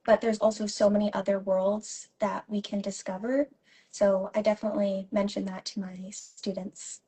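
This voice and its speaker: A woman's voice: mid-range voice, flat delivery, normal speed, mellow, low-quality recording. A woman in her twenties from California, a ballet dancer and teacher, speaking earnestly as an interview guest and now and then checking notes. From San Jose, California. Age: 20s. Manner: earnest, at times reads from notes. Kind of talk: casual interview chat.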